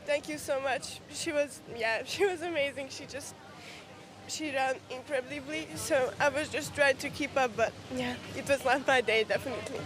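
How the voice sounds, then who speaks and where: A young woman, an athlete in a casual post-race interview, speaking haltingly as she searches for words. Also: sits high, background noise. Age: young adult. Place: outdoors at a race venue. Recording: crowd and music behind.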